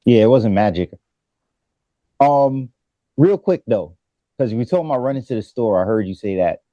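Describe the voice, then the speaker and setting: A man's voice: mid-range voice, animated, normal speed, mellow, no room sound, lo-fi sound. A man co-hosting a podcast in casual, joking group chat. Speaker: podcast co-host.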